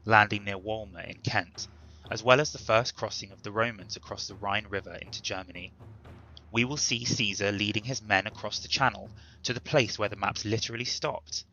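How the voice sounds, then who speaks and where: A man's voice: lowish voice, a little fast, pushed and bright, lo-fi sound. A man delivering a scripted history introduction in a formal, emphatic, lecturing way. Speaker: presenter introducing a dramatic reading.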